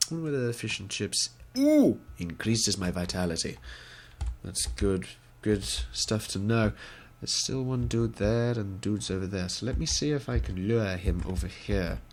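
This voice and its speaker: A man's voice: lowish voice, big pitch swings, moderate pace. Young man, wry mock-posh game commentary. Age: young adult. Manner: mock-posh, wry, gentlemanly put-on accent. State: congested from a cold. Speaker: gaming video creator. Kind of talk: let's play commentary. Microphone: headset.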